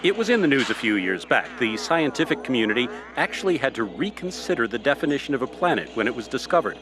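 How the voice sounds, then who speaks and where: Radio play role: affable, slightly hesitant male professor, played by an actor, animated intonation, mid-range pitch, explanatory. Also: quick pace, music in the background, close to an everyday voice. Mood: eager, explaining. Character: affable male astronomy professor being interviewed by phone. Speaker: professor of astronomy.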